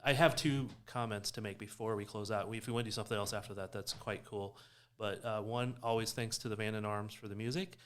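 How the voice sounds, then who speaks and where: A man's voice: lowish voice, steady tone, fast talker, fairly bright tone, slight background noise. A man co-hosting a soccer podcast in casual discussion, explaining his points with emphasis. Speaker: sports podcast co-host. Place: recorded in person in a shared room.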